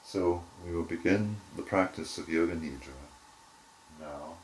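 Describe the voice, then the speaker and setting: A man teaching guided relaxation in measured, deliberate phrases with short pauses. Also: deep voice, a little hiss or hum, rough recording. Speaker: yoga nidra teacher.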